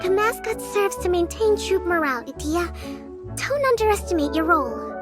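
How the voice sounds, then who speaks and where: Chirpy, self-assured little companion, extremely high and animated, game cutscene over loud music. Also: female voice, normal speed. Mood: matter-of-fact. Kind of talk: video game cutscene voice acting.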